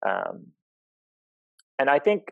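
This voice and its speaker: A man from Zimbabwe speaking reflectively in conversation, thoughtful and halting as he searches for words. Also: medium pitch, sing-song, creaky, no echo, narrow, tinny audio. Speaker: interview guest, professional climbing route setter.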